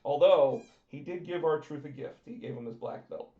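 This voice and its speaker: A man co-hosting a casual wrestling podcast, talking excitedly and repeating himself, with his voice going hoarse. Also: on the high side, moderate pace. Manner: excited, talkative, repeats words when starting. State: losing his voice. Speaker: podcast co-host. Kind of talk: casual chat about pro wrestling. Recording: roomy, dull lo-fi sound.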